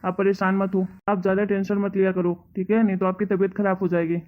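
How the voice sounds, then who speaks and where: A dutiful, timid grown son from a family cartoon, played by a woman. The delivery is soothing and pleading but fairly even in pitch, heard through a thin, phone-like sound. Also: quick pace, natural voice. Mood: reassuring. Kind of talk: Hindi cartoon family drama voice acting. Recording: tinny, narrow phone-like sound.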